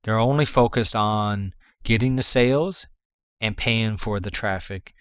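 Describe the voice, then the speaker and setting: A man's voice: lowish voice, measured pace, smooth, no room sound. A man with a Canadian accent, giving rambling business advice in a casual vlog. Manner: rambling, with restarts and fillers. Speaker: dropshipping vlogger.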